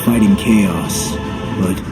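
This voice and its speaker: An actor playing a brooding, skeptical young warrior hero in a video game, blunt and defiant. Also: male voice, low-pitched, takes it slowly, over loud music. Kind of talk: video game cutscene acting.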